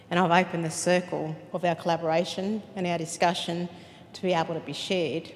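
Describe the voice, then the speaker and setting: A woman giving a ceremonial welcome address as she opens a gathering. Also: on the low side. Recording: hall reverb with clear background noise.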